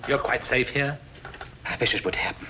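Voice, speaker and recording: An actor playing an impatient, edgy criminal conspirator, terse and tense, in 1940s film style. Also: male voice, medium pitch, moderate pace, natural voice. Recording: noisy old film soundtrack.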